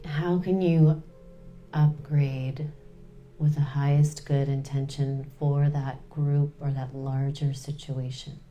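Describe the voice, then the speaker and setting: A woman leading a guided meditation in a soothing, unhurried way, pausing between short phrases. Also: low-pitched, level tone, warm tone, faint music behind.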